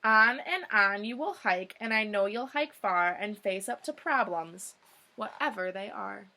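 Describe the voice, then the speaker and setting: A woman's voice: medium pitch, lively intonation, unhurried, bright, forceful tone. A young woman, a teacher, reading a children's picture book aloud expressively, with short informal asides.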